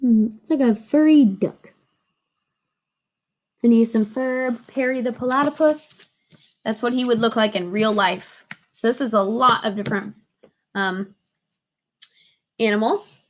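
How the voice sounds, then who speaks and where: High-voiced woman teaching young children, unhurried. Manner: simple explanatory phrasing with emphatic repeated words. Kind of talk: read-aloud lesson for young children, explaining a picture book.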